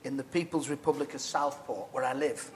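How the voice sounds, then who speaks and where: A man interviewing a guest, asking halting questions with friendly teasing jokes. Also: mid-range voice, a little hiss or hum.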